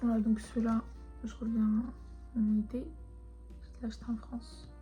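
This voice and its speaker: A young woman who grew up speaking Turkish, chatting casually and rambling softly, often half-whispering, as she shows her souvenirs. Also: on the high side, flat delivery, normal speed, faint music behind. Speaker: vlogger. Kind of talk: casual chat showing souvenirs.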